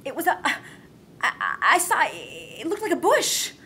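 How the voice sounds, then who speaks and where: A woman's voice: sits high, huge pitch swings, measured pace, pushed and bright, a little hiss or hum, heavily acted. A woman playing a vain, haughty, glamorous young wife in a comedy script read. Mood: panicked.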